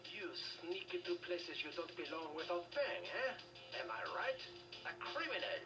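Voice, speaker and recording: A woman's voice: fairly low pitch, animated, a little slow. A woman playing an indignant, bossy character who scolds and threatens in video game dialogue. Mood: accusing. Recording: slightly distant game audio with music behind.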